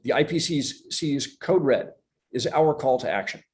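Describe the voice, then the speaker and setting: A man's voice: on the high side, a little slow, darkish tone. A man speaking as a company executive on an earnings call, reading a prepared presentation with upbeat, promotional emphasis. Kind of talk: scripted corporate presentation.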